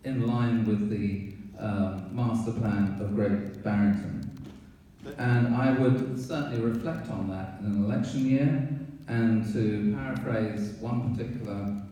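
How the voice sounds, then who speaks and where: A man giving public comment at a town board hearing, pointed and accusatory, with halting pauses and fillers. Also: fairly low pitch, fairly even intonation, warm tone. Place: town meeting hall. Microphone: room mic from a distance. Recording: echoey hall, distant mic, faint hiss.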